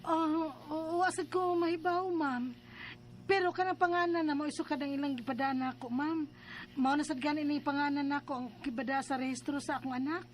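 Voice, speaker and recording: Woman acting a high-voiced, plaintive young mother. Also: fairly even intonation, fairly quick, a little hiss or hum, natural voice. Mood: bewildered. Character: earnest, distressed young mother, bride-to-be named Eileen. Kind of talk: radio drama acting with narration.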